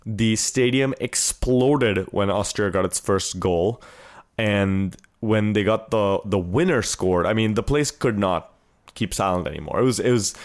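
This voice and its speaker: An American man hosting a podcast, chatting informally and with enthusiasm as he recounts things. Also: lowish voice. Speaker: podcast host. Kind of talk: casual chat, recounting a trip.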